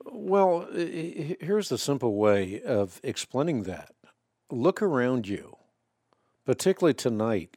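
A man whose first language is Dutch, hosting a call-in radio show and calmly explaining faith questions, often citing scripture. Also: medium pitch, dry recording, clean studio sound. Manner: measured, explanatory, quotes scripture. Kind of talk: Bible answer call-in show.

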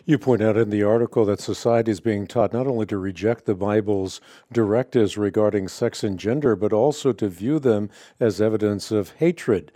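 Male radio host, mellow dark voice, measured delivery leaning on key words, dry studio sound. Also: on the low side, no echo. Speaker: radio talk show host. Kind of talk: scripted monologue then interview.

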